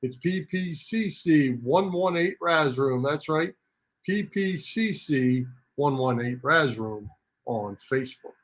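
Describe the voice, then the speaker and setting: Higher-pitched male sports radio host. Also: expressive, slow pace, fairly bright tone. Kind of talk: casual sports talk.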